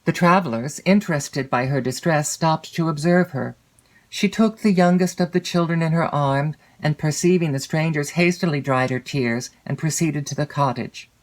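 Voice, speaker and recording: A woman reading literary fiction as an audiobook narrator, in a measured, expressive storytelling manner. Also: sits low. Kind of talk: scripted literary narration of a classic novel.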